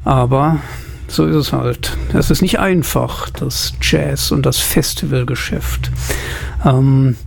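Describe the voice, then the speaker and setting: A man's voice: mid-range voice, takes it slowly, creaky. A man presenting jazz on the radio, chatting casually and thinking aloud with the odd filler.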